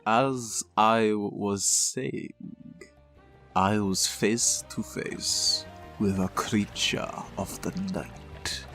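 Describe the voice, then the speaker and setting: An actor in an audio drama playing a pretentious, theatrical crime boss who tells tall tales in grandiose, formal language. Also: male voice, on the low side, extremely slow, a bit bright, soft background music. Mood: pompous storytelling. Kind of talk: scripted audio drama acting.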